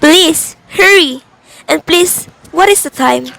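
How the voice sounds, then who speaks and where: A woman's voice: very high-pitched, takes it slowly, gravelly, a bit bright, dry recording, heavily acted, low-quality recording. A young woman acting a nervous, demanding, self-pitying invalid wife in a suspense radio drama, agitated and melodramatic. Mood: anxious, demanding. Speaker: student actor in a class radio drama.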